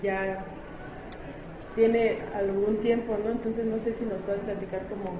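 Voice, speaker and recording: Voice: mid-range voice, flat delivery, unhurried. An interviewer asking tentative, hedging questions. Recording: echoey room with noise.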